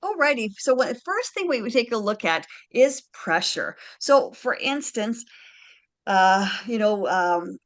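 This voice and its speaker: A woman's voice: fairly high pitch, expressive, a little slow. A woman, a Slovene speaker, presenting handwriting analysis to a group over a video call in a chatty, explanatory way, often checking in with "right?" and "alrighty".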